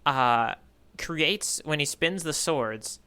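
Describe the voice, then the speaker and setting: A man with a bright, clean voice pitched a bit high. He talks casually as a podcast co-host discussing manga, working through his thoughts in hesitant, halting phrases. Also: lively intonation, smooth.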